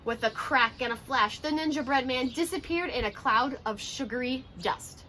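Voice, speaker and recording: A woman's voice: high-pitched, moderate pace, pushed and bright, a little hiss or hum. A woman in her twenties reading a children's storybook aloud expressively, with dramatic, careful pacing. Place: outdoors on a porch by a brick wall.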